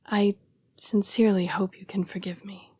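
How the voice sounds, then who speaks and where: A woman playing a young-seeming, formal and apologetic female vampire in an intimate audio roleplay, breathy and often dropping to a whisper. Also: medium pitch, flat delivery, dark tone, dry recording, natural voice. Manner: formal, polite, measured phrasing. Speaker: vampire council's correspondence assistant.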